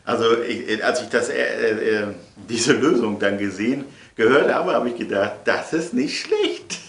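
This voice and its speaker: A man's voice: mid-range voice, sing-song, measured pace, creaky, fairly bright tone. A man presenting in a casual, chatty way, rambling and emphatic, repeating phrases for effect. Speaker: presenter of his audio sketches. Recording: echoey room.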